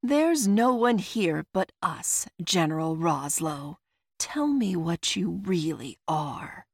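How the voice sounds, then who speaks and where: A woman voicing a vengeful, righteous magic wielder who accuses forcefully in a fantasy audiobook. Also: lowish voice, expressive, normal speed, no room sound, clean studio sound. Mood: hissing.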